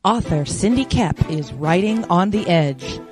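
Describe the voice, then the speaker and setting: Female ad voice, slow and measured, music bed underneath. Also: fairly low pitch, lively intonation. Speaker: ad narrator. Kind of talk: scripted book advert.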